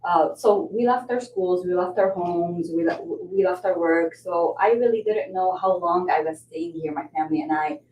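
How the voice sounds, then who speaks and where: A woman from Lebanon, a nonprofit development director, telling her story in a measured way with fillers during a podcast interview. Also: on the low side, mellow. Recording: roomy audio, mic at a distance, faint hiss.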